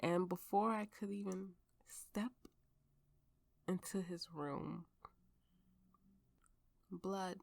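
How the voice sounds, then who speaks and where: A woman playing a tipsy, haunted warrior telling her grim story in an ASMR roleplay, halting and dramatic, sometimes sinking toward a whisper. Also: on the low side, steady tone, gravelly, darkish tone, no room sound, exaggerated voice. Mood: haunted, suspenseful.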